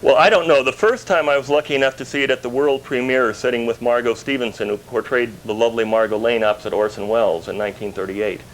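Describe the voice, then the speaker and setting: A man's voice: medium pitch, steady tone, fast, hardly any pauses. A man being interviewed as a collector, giving precise, informative explanations. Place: his house, indoors. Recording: noisy 1990s TV broadcast tape.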